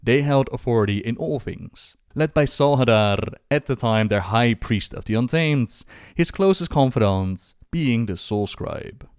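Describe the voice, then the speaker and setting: A man's voice: mid-range voice, lively intonation, unhurried, a little mellow, clean tone, no echo, clean studio sound. A man hosting and narrating video game lore as scripted storytelling, with dramatic phrasing.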